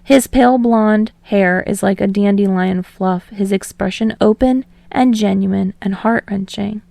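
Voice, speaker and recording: A woman narrator reading fiction aloud in an expressive, storytelling style. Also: mid-range voice, a little slow, creaky, dry recording. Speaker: podfic narrator.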